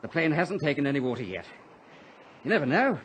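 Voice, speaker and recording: An actor playing a calm, reassuring pilot stranded at sea, in a measured old radio adventure style. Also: male voice, a little high. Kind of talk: 1940s-50s radio adventure acting. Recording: noisy vintage radio drama recording.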